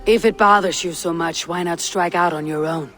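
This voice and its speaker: An actor playing a cool-headed, wry Viking warrior in a video game, with a dry, measured way of talking. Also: male voice, fairly high pitch, fairly even intonation, bright, forceful tone, faint music behind. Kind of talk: video game cutscene acting.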